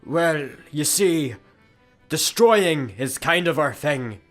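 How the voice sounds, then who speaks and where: A man's voice: fairly high pitch, lively intonation, takes it slowly, soft background music. A man playing a menacing yet matter-of-fact space pirate with a grandiose, announcing manner in a comedy radio play.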